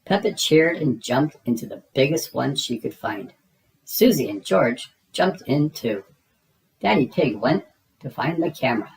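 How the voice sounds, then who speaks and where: Slow, low-voiced woman reading a kids' book. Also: gravelly, low-quality recording. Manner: slow and expressive. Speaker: read-aloud narrator. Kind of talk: children's book read-aloud.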